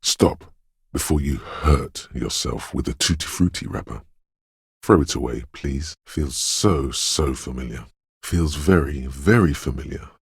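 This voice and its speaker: Game inner voice: dreamlike and foreboding, rock-bottom bass with heavy gravel, hushed and intimate, cryptic dramatic narration. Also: male voice, dry recording, studio quality, heavily acted. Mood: pleading, uneasy, then mournful, haunted. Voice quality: very deep, gravelly, husky. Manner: slow, hushed, ominous, cryptic second-person pronouncements with dramatic pauses. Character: eerie inner voice of intuition, dreamlike, brooding, intimate.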